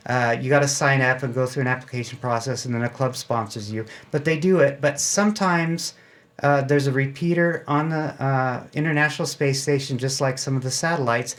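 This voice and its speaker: Folksy American man explaining things casually. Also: medium pitch. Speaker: amateur radio club member, interview guest. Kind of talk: casual explanatory interview talk.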